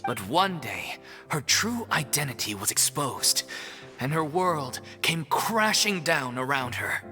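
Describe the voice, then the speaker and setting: Game role: concerned troupe man, bright, animated storytelling, music behind. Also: fairly high pitch, slow, exaggerated voice. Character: concerned, earnest member of a theatre troupe. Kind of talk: video game voice acting.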